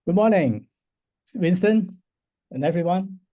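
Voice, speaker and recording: Mellow older man from Hong Kong. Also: high voice, lively intonation, measured pace. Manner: thoughtful, explanatory, frequent restarts and soft laughs. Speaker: university professor, interview guest. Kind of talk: unscripted interview answers.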